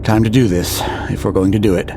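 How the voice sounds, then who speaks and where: A man's voice: lowish voice, steady tone, brisk, noisy background, close to an everyday voice. A man playing a worried, protective husband, speaking tensely and expressively.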